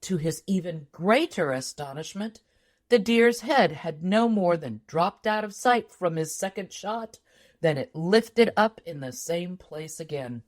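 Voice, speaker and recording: A woman's voice: fairly low pitch, expressive, a little slow. A woman reading a tall tale aloud as scripted storybook narration. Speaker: audiobook narrator.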